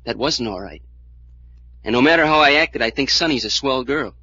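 An actor playing an earnest, resentful American younger brother, plain-spoken and halting, in 1940s radio style. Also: male voice, medium pitch, lively intonation, pushed and bright, slightly rough, exaggerated voice. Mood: resolute. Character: wounded, resentful, earnest younger brother, small-time tough. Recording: dull vintage radio recording.

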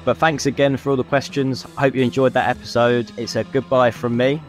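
A man's voice: mid-range voice, little pitch movement, fairly quick, soft background music. A man co-hosting a podcast, chatting casually about motorsport in an agreeable, conversational way. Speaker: podcast co-host.

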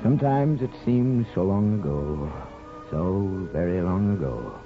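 Muffled 1950s radio drama, aging folksy barber, low voice, gentle, measured, wistful. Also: male voice, expressive. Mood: wistful reminiscence. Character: gentle small-town barber, an aging grandfather, reflective and wistful. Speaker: lead actor and narrator. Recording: muffled 1950s radio broadcast, music behind.

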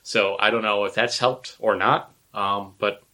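Bright-toned man, easygoing podcast banter. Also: lowish voice, fairly even intonation, unhurried. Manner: easygoing, frequent agreeing murmurs. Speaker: podcast co-host. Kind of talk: casual podcast chat.

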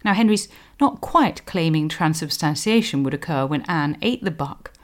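A woman presenting a scripted history explainer in a measured, scholarly manner, giving emphasis to quotations. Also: deep voice, lively intonation. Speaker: podcast host, historian.

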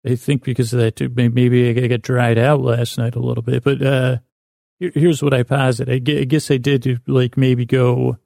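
American guy doing a sleepy rambling podcast, creaky voice, pretty flat, keeps stammering and restarting. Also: medium pitch, no room sound, studio quality. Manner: meandering, halting, with stammered false starts and self-interruptions. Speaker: podcast host. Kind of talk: rambling bedtime monologue to help listeners sleep.

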